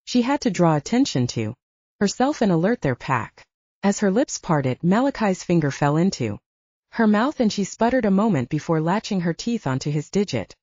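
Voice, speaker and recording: A woman's voice: lowish voice, sing-song, normal speed, a little mellow, no room sound. A woman working as an audiobook narrator, reading fiction in a dramatic, scripted style. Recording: dull, band-limited audio.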